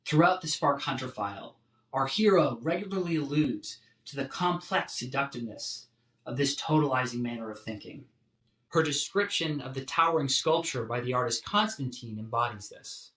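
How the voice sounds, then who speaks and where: Bright-voiced male lecturer, roomy sound. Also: medium pitch, expressive. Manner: measured, articulate, emphatic. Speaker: professor, lecturer. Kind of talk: scripted philosophy lecture narration.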